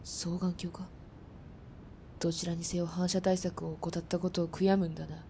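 Woman acting a low-voiced, cool female sniper. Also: little pitch movement, normal speed, slight background noise, natural voice. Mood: cool contempt. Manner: hushed, low, disdainful muttering. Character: cool, contemptuous female sniper, veteran instructor. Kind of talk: Japanese radio drama acting.

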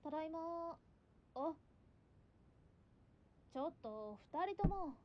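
A woman's voice: high-pitched, animated, takes it slowly, highs cut off, very noisy. A woman playing a strict, feisty eighteen-year-old landlady in a Japanese radio drama, with a scolding, cheeky and bossy manner. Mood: hesitant.

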